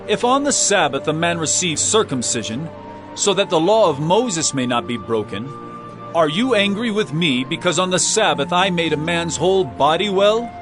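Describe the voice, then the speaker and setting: An actor playing an impassioned male religious teacher, declaiming earnestly and with authority in a dramatized audio Bible. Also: on the high side. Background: music.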